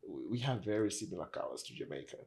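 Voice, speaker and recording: A man from Tanzania in casual conversation, relaxed, often repeating words as he thinks. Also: sits low, quick pace, gravelly.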